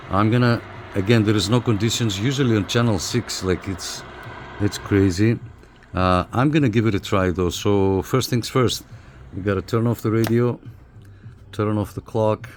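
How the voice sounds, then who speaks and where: A man's voice: on the low side, normal speed, pauses often. A man giving a casual hands-on review as a hobbyist, thinking aloud as he works, with hesitations and repeated phrases. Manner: hesitant, repetitive, thinking aloud while working. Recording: clear background noise.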